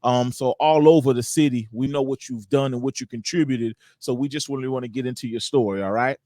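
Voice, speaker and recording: A man's voice: medium pitch, brisk, dark tone, no room sound. Upbeat slangy male podcast host, close and dry. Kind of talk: casual hip hop podcast interview.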